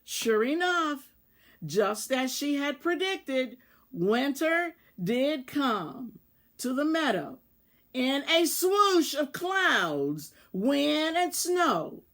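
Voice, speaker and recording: Casting: female children's story reader, unhurried and deliberate, with lively, expressive intonation. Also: high-pitched. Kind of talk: expressive children's read-aloud narration.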